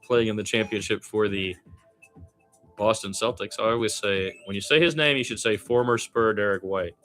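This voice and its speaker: A man with a fairly low voice does amateur radio play-by-play of basketball. He gets animated on the plays and drifts into wry, musing, conversational asides as a fan. Faint music sits behind his voice. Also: normal speed. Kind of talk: live basketball commentary with casual rambling asides.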